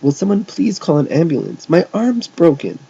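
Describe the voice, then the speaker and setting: Guy doing a hurt panicky young man from a story, whiny n loud, kinda muffled sound. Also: fairly high pitch, big pitch swings, normal speed, gravelly, breathy edge, pitched above the performer's own voice, exaggerated voice. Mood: pained, whining. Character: frantic injured young man, screaming and whining.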